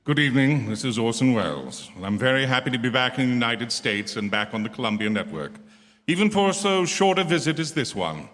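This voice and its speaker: Vintage radio host role: male actor as urbane wry showman, measured oratory, forceful tone, reverberant hall, some music behind. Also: medium pitch, lively intonation, natural voice. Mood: wry, suave. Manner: droll, theatrical, measured oratory. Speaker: program host. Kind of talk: 1940s radio introduction. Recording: echoey theatre hall with music underneath.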